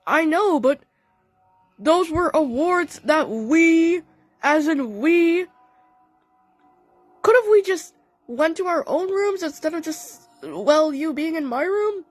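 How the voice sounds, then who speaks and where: A woman's voice: extremely high pitch, expressive, slow pace, pauses often, dry recording, soft background music, full character voice. A woman voicing a chatty cartoon DJ cat in an audio play, casual and coaxing. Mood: probing.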